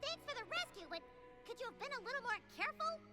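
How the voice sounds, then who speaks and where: A woman voicing a tiny, plucky, fairy-like companion in a video game, with a squeaky childlike voice and a fussy, exclamatory manner. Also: sing-song, quick pace, music in the background. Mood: indignant, startled.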